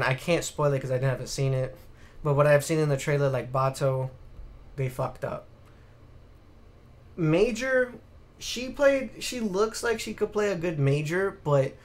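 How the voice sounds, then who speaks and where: A man hosting a casual podcast, speaking bluntly with plenty of swearing and getting animated when exasperated. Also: fairly high pitch, unhurried. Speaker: podcast host. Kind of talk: casual opinionated podcast chat.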